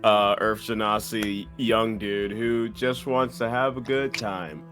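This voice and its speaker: A man's voice: fairly low pitch, steady tone, takes it slowly, fairly bright tone, soft background music. A young man introducing himself as a player in a tabletop game, jokey and loose, with many uhs. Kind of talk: casual self-introduction.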